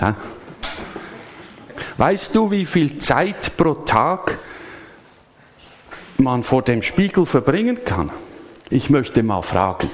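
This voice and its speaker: Man preaching a lively chatty sermon. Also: mid-range voice, slight background noise. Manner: lively, chatty, asks the congregation questions. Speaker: pastor. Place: church service.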